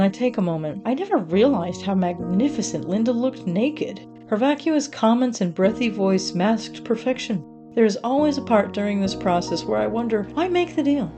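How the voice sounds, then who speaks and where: A woman narrates a horror tale in the first person with music behind her. Her delivery is cold, clinical and menacing, and her pitch sits in a medium range.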